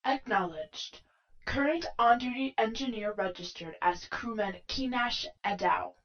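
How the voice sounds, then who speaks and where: A woman's voice: on the high side, unhurried, fairly bright tone, dull audio. A woman voicing an impassive starship computer in an audio drama, formal and impersonal. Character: impassive female starship computer announcing status.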